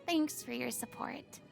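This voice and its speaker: A young game heroine: high, unhurried, haughty former stage star, refined and dramatic, wistful underneath. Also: female voice, gravelly, full character voice. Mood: proud, pleased. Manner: theatrical, refined, haughty diction with dramatic swings. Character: theatrical young woman, proud, dramatic former stage idol, secretly wistful. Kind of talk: video game character voice lines.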